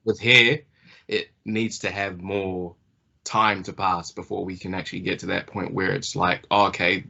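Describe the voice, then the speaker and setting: A man's voice: low voice, little pitch movement, normal speed, gravelly, pushed and bright, lo-fi sound. A man interviewing, asking a long, winding question with hesitations.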